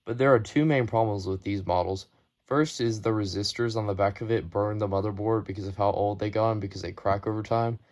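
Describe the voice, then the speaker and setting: Low-pitched man, casual show-and-tell. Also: fairly even intonation, a little fast, a little mellow. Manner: matter-of-fact, informal, unscripted. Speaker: hobbyist collector presenting a video.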